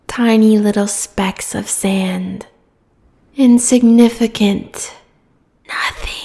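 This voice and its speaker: An imperious, vengeful giant goddess of the sea, acted by a woman for a fantasy audio roleplay. Her delivery is extremely slow and theatrical, with a mid-range pitch and phrase endings that sink into breathy whispers. A long reverb surrounds every word. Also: darkish tone. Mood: contemptuous.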